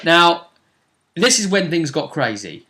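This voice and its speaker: Bright-voiced man recapping a game. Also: a little high, big pitch swings, unhurried. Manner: storytelling, seeks agreement with tag questions. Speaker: game master and show host. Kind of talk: casual recap of a tabletop role-playing session.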